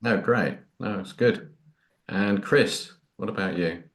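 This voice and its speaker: Very low-voiced man chairing introductions. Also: takes it slowly. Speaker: course host.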